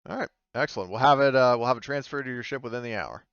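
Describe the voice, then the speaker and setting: Man as a courteous, clear-voiced vehicle dealer. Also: medium pitch, rapid-fire, fairly bright tone, no room sound, highs cut off, lightly acted. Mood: polite service. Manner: courteous, businesslike. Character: polite, obliging male vehicle dealer.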